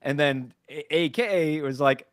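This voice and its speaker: A man co-hosting a podcast, telling stories in an animated way with lots of "like". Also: on the high side, unhurried, no room sound, studio quality. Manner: animated storytelling, full of "like". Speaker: podcast co-host. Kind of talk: casual panel chat.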